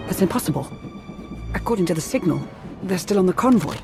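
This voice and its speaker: An actress playing a shrewd, loyal and vengeful Sith adviser in a cinematic video game, formal and controlled. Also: female voice, lowish voice, expressive, fast, over loud music. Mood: disbelief.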